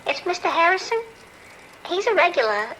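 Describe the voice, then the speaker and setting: Actress as anxious hotel clerk, very high bright voice, kind, hesitant, audio drama, faint noise. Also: female voice, very animated, moderate pace, full character voice, rough recording. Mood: worried, sympathetic. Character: worried, kind-hearted female hotel desk worker. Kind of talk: naturalistic audio drama acting.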